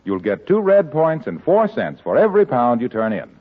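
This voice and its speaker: A man announcing and reading sponsor messages on a 1940s radio comedy show, in an upbeat sales pitch. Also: huge pitch swings, moderate pace. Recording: tinny old radio broadcast, faint music.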